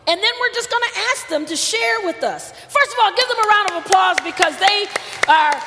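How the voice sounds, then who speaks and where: A woman's voice: extremely high pitch, animated, fairly quick, a crowd in the background, background noise. A woman pastor preaching emphatically and hosting a panel in a church. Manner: emphatic, calls out to congregation. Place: church sanctuary. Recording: long hall reverb.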